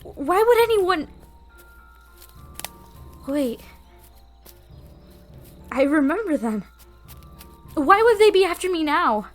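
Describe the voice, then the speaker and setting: A woman's voice: very high voice, very animated, takes it slowly, pauses often, soft background music, heavily acted. A woman playing an anxious young woman on the run in an audio drama, questioning and unsure. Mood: fearful, bewildered. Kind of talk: audio roleplay drama acting.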